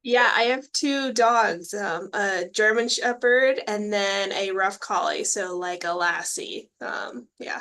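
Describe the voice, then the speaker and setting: A woman's voice: medium pitch, takes it slowly, few pauses, a bit bright. A woman from Texas answering casually as a panelist on a video call, in informal, somewhat hesitant phrases. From Texas, United States. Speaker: webinar panelist. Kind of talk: casual chat.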